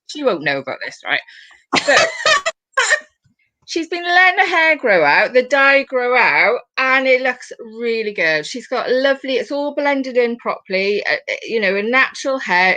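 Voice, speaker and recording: A woman in her forties with a British accent, hosting a casual chat over a video call, rambling with quick asides. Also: fairly high pitch, sharp tone. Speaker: host.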